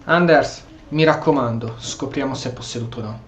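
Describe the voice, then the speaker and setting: A man's voice: mid-range voice, fast talker. A man with an Italian accent giving casual commentary and role-playing along as he plays a video game. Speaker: gameplay streamer.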